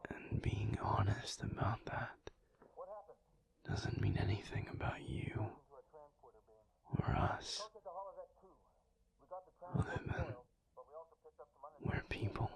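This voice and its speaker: A man playing a tender, weary, protective alpha werewolf in an intimate audio roleplay, speaking gently and haltingly in a breathy, low, often whispered voice. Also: wildly swooping pitch, full character voice. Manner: slow, gentle, halting, reassuring.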